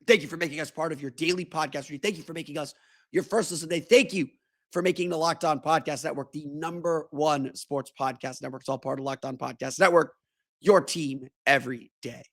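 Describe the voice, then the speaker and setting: A man with a hoarse voice, hosting a casual sports podcast and often repeating words as he thinks aloud. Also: a little high, fairly quick, a bit bright. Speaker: podcast host and senior writer.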